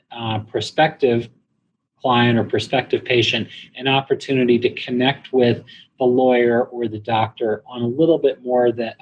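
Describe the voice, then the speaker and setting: Man explaining business, clear background noise. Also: medium pitch, poor audio. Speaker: guest, internet marketing company president. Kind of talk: interview answers, explanatory business talk.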